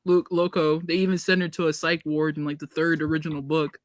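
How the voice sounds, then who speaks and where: A woman's voice: deep voice, steady tone, brisk, dry recording, clean studio sound. A woman chatting casually on a review podcast, explaining things in an emphatic, corrective way. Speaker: podcast panelist. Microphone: online group call.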